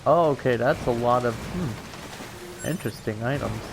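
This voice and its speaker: A man's voice: mid-range voice, measured pace, creaky, noisy background. A man giving casual let's play commentary in a hesitant, rambling way. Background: game music.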